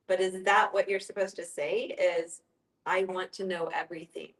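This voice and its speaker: Lowish-voiced woman in an echoey room. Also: fairly even intonation, moderate pace. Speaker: discussion participant. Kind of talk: conversational group discussion.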